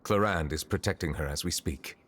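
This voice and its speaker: An actor playing a stately, reserved judge who speaks with measured, formal courtesy. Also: male voice, low voice, noisy background, natural voice. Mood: composed. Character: stately, reserved high judge, grave and polite. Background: game music.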